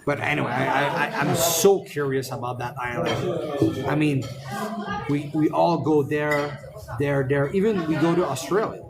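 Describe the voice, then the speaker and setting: French-Canadian male streamer, friendly, halting English, loud street crowd behind. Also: fairly high pitch, fairly even intonation, creaky. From Quebec, Canada. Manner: friendly, searching for words. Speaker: live streamer. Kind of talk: casual street chat. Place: busy city street at night.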